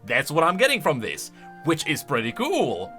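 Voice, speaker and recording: A man with a bright, forceful voice gives casual commentary as a gaming channel host. He is highly animated and excitable, thinking aloud as he goes, with light music underneath. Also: moderate pace. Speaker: host of a game lore channel.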